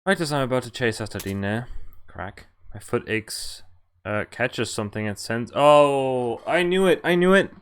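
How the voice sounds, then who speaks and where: A man streaming a visual novel, reading it aloud and commenting casually in a halting way with offhand swearing. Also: mid-range voice, expressive, clean tone. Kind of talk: casual game walkthrough with read-aloud narration.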